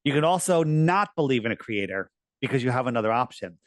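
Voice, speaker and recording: A man speaking as a podcast guest, earnest and emphatic, his voice worn and going hoarse. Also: animated, fast, no echo. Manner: earnest, emphatic, rising pitch on key points. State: losing his voice. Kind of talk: conversational interview talk.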